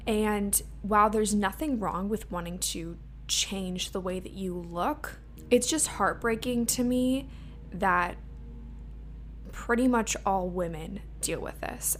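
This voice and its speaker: Chatty slightly raspy young woman host. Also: medium pitch. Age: 20s. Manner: chatty and open, many "like" fillers. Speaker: podcast host. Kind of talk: casual solo podcast talk. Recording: faint hum and lawn mower behind.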